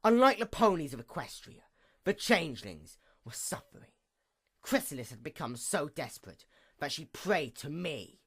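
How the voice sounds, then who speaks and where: Performer as female pony night goddess, villain monologue: bitter, imperious, formal, hugely varied intonation, mid pitch. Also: sing-song, normal speed, slightly rough. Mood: cold, menacing. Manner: grandiose, formal, swinging from outbursts to hushed menace. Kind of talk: dramatic reading, theatrical villain monologue.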